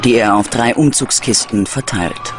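A man narrating a scripted true-crime documentary in a dramatic, measured delivery. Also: mid-range voice. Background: background music.

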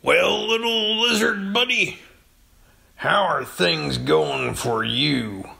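Laid back guy telling comic stories on a podcast, real slow talker, lots of fry. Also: a little high, bright, forceful tone. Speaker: podcast storyteller. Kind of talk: casual comedic chat.

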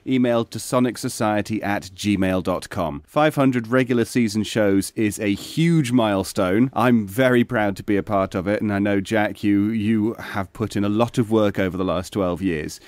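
A man's voice: lowish voice, moderate pace, hardly any pauses, darkish tone, no echo. A man co-hosting a podcast, giving announcements and light banter. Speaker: podcast co-host. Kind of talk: scripted co-host banter and announcements.

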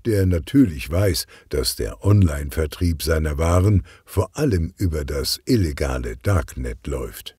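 A man's voice: a bass voice, unhurried, few pauses, slightly rough, no echo, clean studio sound. A man narrating a children's crime story, expressively, as a scripted audiobook. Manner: expressive storytelling, acts out dialogue.

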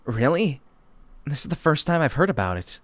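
Man as a blunt, lively-voiced teenager. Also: on the high side, normal speed, dry recording, clean studio sound. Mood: surprised, curious. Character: blunt, skeptical, nagging high-school teenager. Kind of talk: manga dub acting.

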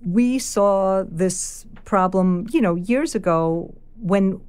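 A woman's voice: medium pitch, slow, clean tone. A woman with a New York accent, a filmmaker telling stories in a casual interview. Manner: animated storyteller, quoting others aloud. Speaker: filmmaker guest.

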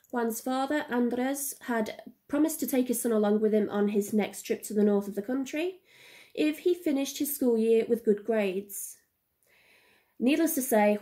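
A young adult woman hosting a missing-person series, reading scripted narration in a measured, earnest way. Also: a little high, mellow. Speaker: true-crime podcast host.

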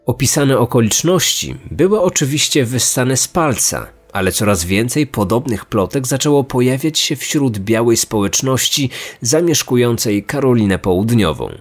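A man delivering serious, measured scripted true-crime narration as a podcast host. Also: mid-range voice, lively intonation. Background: music.

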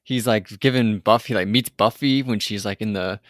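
A man's voice: fairly low pitch, moderate pace, fairly bright tone, no echo. Rambling man, dry close sound. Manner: rambling, lots of "like" and restarts. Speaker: podcast co-host. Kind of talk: casual chat recapping a TV episode.

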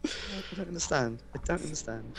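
A man's voice: on the high side, expressive, moderate pace, creaky, a crowd in the background. A man chatting and laughing as a co-host of a gaming charity livestream. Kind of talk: casual chat while gaming.

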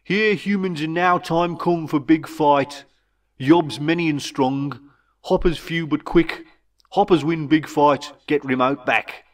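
A man voicing an old, wise alien chief in a storybook, with a Brummy accent and slow, broken, clipped English. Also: fairly high pitch, far from the mic, voice pushed up. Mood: solemn, rallying. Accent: Brummy (Birmingham). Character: old wise alien chief, small orange hopping creature. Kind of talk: storybook character voice.

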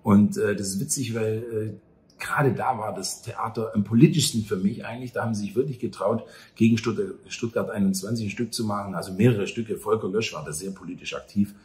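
A man with a fairly deep voice talks as an interview guest. His phrasing is colloquial, with hesitant pauses between thoughts. The room around him is clearly echoey. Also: darkish tone. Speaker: actor and theatre director being interviewed. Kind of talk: conversational interview answers. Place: small cafe or bar table at night.

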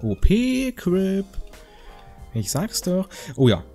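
A man's voice: high-pitched, wildly swooping pitch, very slow, mellow, faint music behind. A man giving casual, joking game commentary in a rambling, slangy, mock-indignant way. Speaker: gaming video host.

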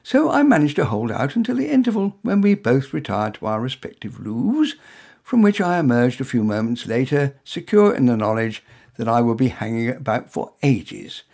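Husky, lively English male storyteller. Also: medium pitch, moderate pace. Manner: wry, droll, conversational asides. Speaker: author reading own short story. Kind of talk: first-person humorous story narration.

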